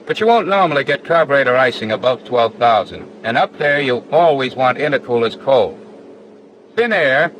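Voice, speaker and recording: Male actor as flight instructor, medium pitch, measured, matter-of-fact, 1940s film soundtrack, slight background noise. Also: a bit bright, close to an everyday voice, rough recording. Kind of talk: 1940s training film acting.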